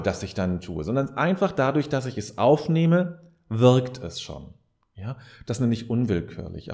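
A man's voice: mid-range voice, mellow. A man speaking in a reflective, explanatory podcast monologue, searching for his words and correcting himself as he addresses the listener. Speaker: podcast host.

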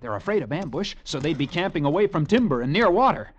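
An American actor playing an eager, resentful young cavalry lieutenant in an old radio drama, with an earnest military manner. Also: male voice, on the high side, very animated, fast, breathy edge, muffled recording. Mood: eager, urgent. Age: young adult. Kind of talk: 1940s-50s radio acting.

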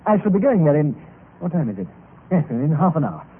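An actor playing an earnest, secretive young gentleman, courteous and deliberate, in old-time radio drama style. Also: a little high, sing-song, slightly rough. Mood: urgent, solemn. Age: young adult. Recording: noisy, tinny old radio recording.

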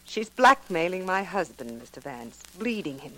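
A woman's voice: lowish voice, very animated, moderate pace, a bit bright, low-quality recording. A woman playing a genteel, anxious wealthy wife in 1940s radio drama, earnest, formal and hesitant. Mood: anxious, pleading.

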